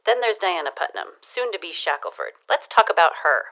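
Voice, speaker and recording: Gossipy woman, deep female register, arch, sly, teasing, period radio-play dialogue. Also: wildly swooping pitch, brisk, sharp tone, exaggerated voice. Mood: confiding. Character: gossipy, sly woman, dishing neighborhood romance news. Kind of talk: period audio drama dialogue.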